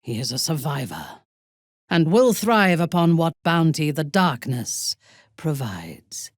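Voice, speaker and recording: A cold, menacing dark elf commander for a fantasy game. Her voice is low and husky, and she speaks slowly and deliberately, with wide, dramatic swings in pitch. She sounds imperious and disdainful. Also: big pitch swings, no echo, clean studio sound, full character voice. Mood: dry, composed. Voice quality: husky, breathy. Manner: slow, deliberate, imperious, precise.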